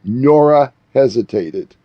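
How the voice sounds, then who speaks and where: A man narrating a scripted fairy tale as a storyteller, in a measured way. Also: mid-range voice, lively intonation, dry recording, highs cut off. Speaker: author and narrator.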